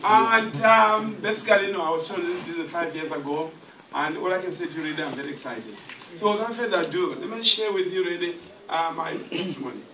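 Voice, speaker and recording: A man's voice: sits high, animated, quick pace. A man, jovially giving a motivational testimony to a hotel audience. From Zimbabwe. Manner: jovial, jokes with the crowd. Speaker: guest speaker giving a testimony. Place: meeting room in a hotel. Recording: echoey hall, distant mic, faint noise.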